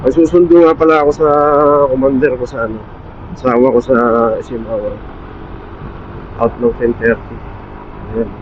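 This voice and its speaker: Male Filipino motovlogger, mid-pitched, casual and offhand thinking aloud, slightly lo-fi helmet mic sound. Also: fairly even intonation, moderate pace, pauses often, darkish tone. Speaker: motorcycle vlogger. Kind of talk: casual vlog chat while riding. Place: outdoors on a motorcycle at night, in traffic. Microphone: helmet intercom mic under the visor. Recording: dull intercom mic with road noise. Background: faint vehicle and road noise.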